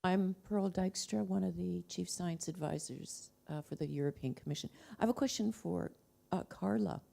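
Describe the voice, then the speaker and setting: Female advisor questioning a panel, informal, heavy vocal fry, mellow tone. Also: fairly low pitch, normal speed. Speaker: science advisor asking from the floor.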